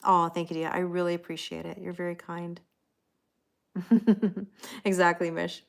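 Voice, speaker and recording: A woman's voice: on the low side, level tone, a little slow, vocal fry. A middle-aged woman hosting a tarot livestream, chatting casually and thanking viewers by name.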